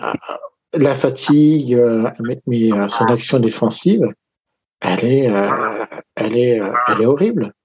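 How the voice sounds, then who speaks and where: A man's voice: mid-range voice, animated, unhurried, a little mellow. A man on a rugby talk show, chatting casually and hesitantly with many fillers and restarts. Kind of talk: casual sports chat. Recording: dull lo-fi sound.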